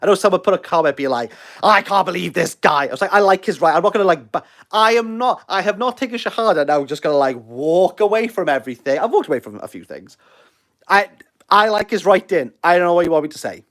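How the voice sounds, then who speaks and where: A man's voice: sits high, expressive, quick pace, a bit bright. A Welsh man in his thirties hosting a casual livestream, rambling and self-deprecating as he answers viewer comments. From Wales. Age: 30s. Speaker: livestream host.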